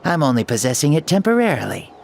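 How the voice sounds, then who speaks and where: A man's voice: on the high side, big pitch swings, quick pace, vocal fry, a little hiss or hum. A man voicing a playful, theatrical ancient hero spirit in a borrowed child's body, for a video game. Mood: breezy, confident. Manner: theatrical, jokey, self-important.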